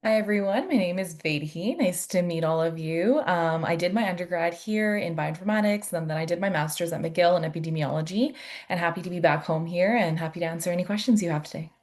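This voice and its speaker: A woman's voice: on the low side, fast talker, a little mellow. A young woman, a medical student, giving a brief informal introduction of herself.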